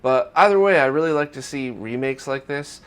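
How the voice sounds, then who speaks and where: Male host, medium pitch, semi-scripted news commentary, explains things in a conversational manner. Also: animated, normal speed, clean tone. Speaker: host of a retro gaming news roundup.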